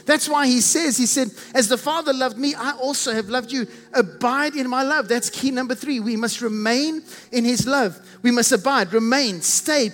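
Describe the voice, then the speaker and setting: South African preacher, very high male voice, emphatic and repetitive, leans hard on key words; long hall reverb with faint music underneath. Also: fairly bright tone. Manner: emphatic, repeats strings of synonyms, stretches key phrases slowly. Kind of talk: sermon.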